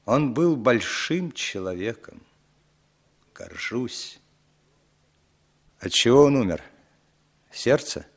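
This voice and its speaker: An actor playing an aging impostor who poses as a long-lost brother, speaking slowly and sentimentally in a 1960s radio drama. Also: male voice, mid-range voice, animated, husky, heavily acted. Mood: heavy sentimental. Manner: slow, heavy, sentimental.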